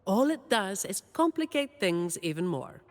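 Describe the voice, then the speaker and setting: A woman playing a weary, dutiful young office employee in a video game, delivering scripted monologue in a dry, deadpan way. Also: mid-range voice, unhurried, long reverb tail.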